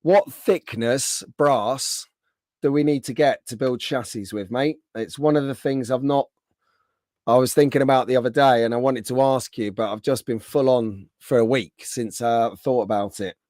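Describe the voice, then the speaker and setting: A man hosting a casual live stream, greeting viewers by name, his voice slightly hoarse from being under the weather. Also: mid-range voice, normal speed, a little mellow, no echo, clean studio sound.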